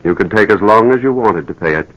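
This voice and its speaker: An actor playing a grave, apologetic doctor in a radio drama, speaking in a measured, halting way. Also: male voice, lowish voice, lively intonation, a bit bright, poor audio. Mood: grave, reluctant. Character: grave, hesitant male doctor breaking bad news. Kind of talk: 1940s radio drama acting.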